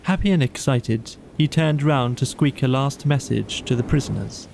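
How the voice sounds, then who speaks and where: A man's voice: mid-range voice, normal speed, gravelly, a little mellow, slight background noise. A man narrating a children's bedtime story as an audiobook reader.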